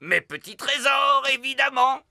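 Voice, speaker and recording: A man voicing a boisterous, sentimental sea captain dog father with a gravelly voice and grand, theatrical delivery in a French children's cartoon. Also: very high voice, animated, unhurried, very noisy, exaggerated voice. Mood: hearty.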